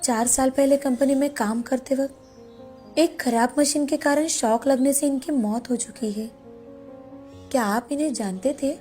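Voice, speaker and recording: A woman playing a gentle, polite poor widowed mother in a moral story, speaking earnestly. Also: high-pitched, measured pace, soft background music. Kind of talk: Hindi moral-story voice acting.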